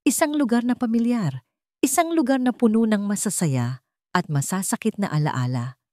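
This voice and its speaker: A woman's voice: medium pitch, expressive, moderate pace, a little mellow, no room sound, clean studio sound. A woman reading a dramatic love story as scripted narration.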